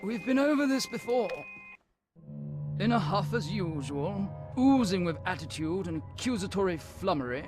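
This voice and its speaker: An actor playing a fussy, peevish Victorian gentleman in a game, with an English accent and a pompous, wordy manner. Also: sits high, big pitch swings, moderate pace, music in the background. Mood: peevish, dismissive. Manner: pompous, verbose, exasperated. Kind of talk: period game acting.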